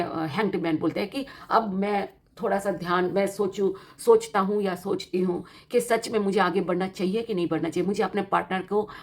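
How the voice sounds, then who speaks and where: A woman with an Indian accent and a slightly worn voice gives an informal tarot reading, explaining each point in a teacherly, encouraging way and often repeating and rephrasing it. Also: mid-range voice. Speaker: tarot card reader and host.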